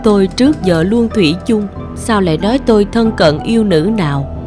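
A young, devout gentleman of a cartoon folk tale played by a woman. He sounds earnest, naive and indignant, over music. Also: medium pitch, moderate pace, close to an everyday voice.

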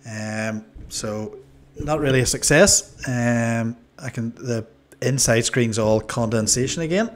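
A man in his forties with a Northern Irish accent giving a casual, low-key, hesitant product review into a desk microphone. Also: slow. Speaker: review channel host. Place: small indoor room. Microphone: desk microphone on a boom arm.

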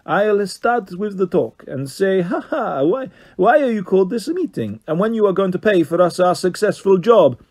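A man reading aloud as a greyhound crook with a high, put-on Italian accent. He talks in pushy, broken English, and his voice leaps around as he demands his money. It is a storybook gangster, quick to lose patience. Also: animated, darkish tone. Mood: demanding.

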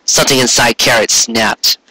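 A man's voice: mid-range voice, steady tone, moderate pace, bright, forceful tone, slightly rough, poor audio. A man narrating a story as a dramatic reading, intense in manner, now and then stumbling over words. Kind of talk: dramatic reading of fan fiction.

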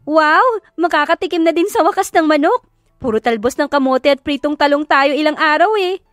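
A woman voicing an excitable, sweet teenage younger sister in an audio drama, eager and exclaiming. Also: high voice, moderate pace, dry recording, clean studio sound, soft background music, pitched above the performer's own voice. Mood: excited.

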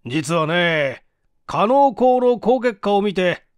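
A narrator, a man, puts on a middle-aged male company president in an audiobook. He is composed and authoritative, with a raised, swooping pitch and a dry, close sound. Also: expressive, slow pace, clear, resonant tone, no room sound. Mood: explaining.

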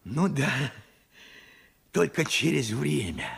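Gravelly, weary old man. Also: medium pitch, sing-song, takes it slowly, fairly bright tone, full character voice. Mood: reflective, urgent. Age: 70s. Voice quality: gravelly, husky. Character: weary, frank 78-year-old Russian worker recalling his life. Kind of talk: Soviet radio drama acting.

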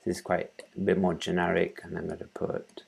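A man in his thirties giving an informal step-by-step software tutorial, haltingly, thinking aloud as he works. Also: sits low, completely flat delivery, creaky, breathy edge. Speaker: tutorial presenter. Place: small room at home, indoors.

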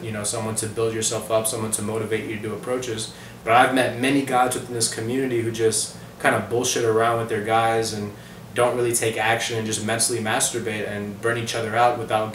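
A man's voice: lowish voice, slight background noise. A young man in his twenties giving casual, rambling dating advice to camera from his living-room couch. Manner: relaxed, rambling, many fillers. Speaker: dating coach vlogger. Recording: roomy echo from far off the mic.

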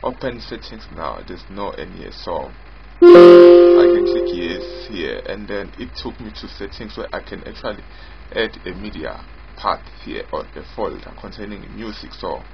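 A man giving an informal screen-recorded software demo as its developer, in a halting way, often repeating and rephrasing. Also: lowish voice, big pitch swings, vocal fry. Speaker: software developer demonstrating own app. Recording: dull lo-fi mic with prominent music.

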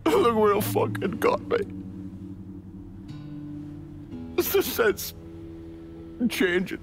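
An actor playing a bitter, middle-aged mobster with a wry, grieving, brooding manner in a video game cutscene. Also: male voice, high-pitched, sing-song, over music, full character voice. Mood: grieving, weary. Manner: slow, brooding, halting, swearing.

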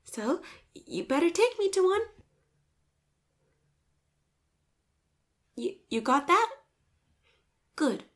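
High-pitched, shy, flustered schoolgirl crush, played by a woman. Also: very animated, unhurried, fairly bright tone, full character voice. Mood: flustered, insistent. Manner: bashful stammering, breathy whispered asides. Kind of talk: romantic roleplay voice acting.

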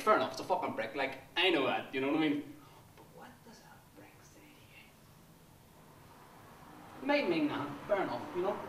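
A man in his twenties with a Northern Irish accent, playing an obsessive, menacing young republican militant in a dramatic monologue, lurching between hushed whispers and profane outbursts. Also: mid-range voice, lightly acted. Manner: swings between hushed self-urging whispers and swearing tirades. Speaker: monologue performer. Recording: echoey room, voice from a distance.

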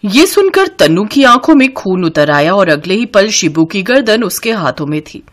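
Female narrator for cartoon tales, scripted storybook read, very animated intonation. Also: medium pitch, normal speed.

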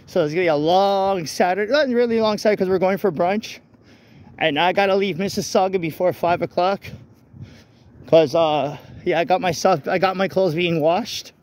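A man rambling casually and haltingly into a handheld phone as he walks outdoors, often repeating his phrases. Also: high-pitched, a little mellow. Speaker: vlogger. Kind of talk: casual rambling walk-and-talk chat. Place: outdoors walking along a street at night. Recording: noisy outdoor phone, traffic ambience. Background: vehicles and street ambience.

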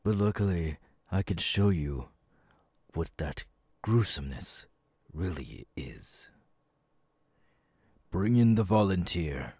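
A man voicing a menacing, imperious demon lord, cold and cruel, with a husky, breathy voice, in an ASMR audio roleplay. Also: sits low, animated, darkish tone, no echo, clean studio sound, exaggerated voice. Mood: cruel, threatening. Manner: slow, deliberate, commanding, threatening.

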